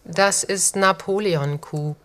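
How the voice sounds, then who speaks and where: A woman's voice: mid-range voice, takes it slowly, fairly bright tone. A woman speaking a short sample phrase for a recording.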